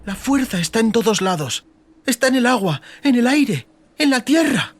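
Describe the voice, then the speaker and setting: A woman's voice: on the high side, very animated, normal speed. A woman playing a defiant, exhausted but determined young sorceress girl in a dramatised fantasy audiobook. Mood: intense resolve.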